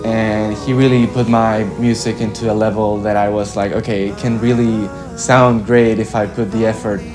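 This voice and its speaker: Low-voiced young man. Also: level tone, over music. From Chile. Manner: reflective, halting, with frequent "like" and "kinda". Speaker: musician being interviewed. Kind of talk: casual interview answers.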